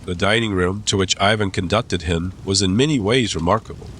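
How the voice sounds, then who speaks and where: A man's voice: low-pitched, brisk, a bit bright, slight background noise. A man narrating a dramatized classic story as an audiobook, reading scripted literary prose.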